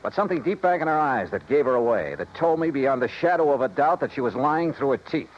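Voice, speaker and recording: A man's voice: medium pitch, expressive, quick pace, background noise, natural voice. Hard-boiled male radio investigator played by an actor, American, wry and suspicious, 1950s noir style, muffled vintage sound. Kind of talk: 1950s radio drama acting. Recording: dull old radio recording, faint music.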